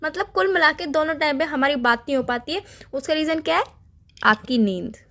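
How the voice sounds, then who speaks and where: A woman's voice: high voice, fairly quick, a bit bright. A young woman in a casual couple's phone argument, listing complaints in a nagging way. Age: young adult. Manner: nagging, lists complaints at length. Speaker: girlfriend on a phone call. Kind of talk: casual relationship argument in call format.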